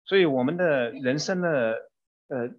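Male consecutive interpreter for a sermon, husky and mid-pitched, following each phrase, on a muffled dull recording. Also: measured pace. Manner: renders each phrase promptly, with some fillers.

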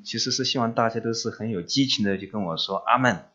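A man interpreting a sermon live, relaying each phrase quickly and steadily. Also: fairly low pitch, bright, forceful tone, muffled recording.

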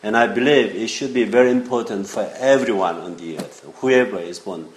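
An older man preaching informally in halting, English, often checking in with his listeners. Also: fairly low pitch. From South Korea. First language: Korean. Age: 50s or older. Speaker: visiting preacher and seminary teacher. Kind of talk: informal sermon to young people. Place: indoor church room. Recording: echoey room with faint noise.

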